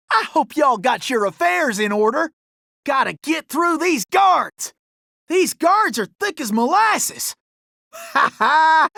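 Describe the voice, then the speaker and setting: Casting: young gunslinger outlaw for a video game. Very high, animated voice, Old West Southern drawl, boastful and gallant. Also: male voice, vocal fry, no echo, clean studio sound, full character voice. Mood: cocky, taunting. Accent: American Old West Southern drawl. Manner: folksy, exclamatory, boastful cowboy bravado.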